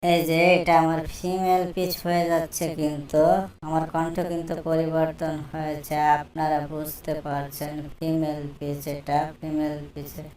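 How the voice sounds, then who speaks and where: Man as female-pitched tutorial voice, electronic shift, very echoey with faint rumbling noise. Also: completely flat delivery, moderate pace, darkish tone, far from the mic. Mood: explanatory. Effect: female-pitch voice changer.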